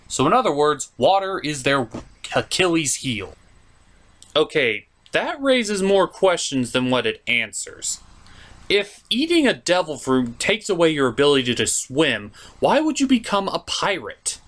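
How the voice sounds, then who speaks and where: A man's voice: a little high, a bit bright. A man delivering scripted review commentary with joking asides and an emphatic, mock-exasperated manner. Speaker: reviewer hosting an anime review show.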